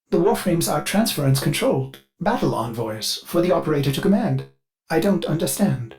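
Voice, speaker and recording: An anxious, devoted ship computer for a video game. The delivery is very animated, swinging up and down with theatrical formality. It sounds like a fussy, solicitous servant. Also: male voice, fairly high pitch, rough recording. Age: older. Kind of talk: scripted video game voice acting.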